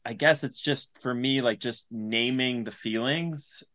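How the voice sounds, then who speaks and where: A man's voice: medium pitch, measured pace, fairly bright tone, no room sound. A man speaking as an interview guest in casual, reflective conversation, earnest and informal.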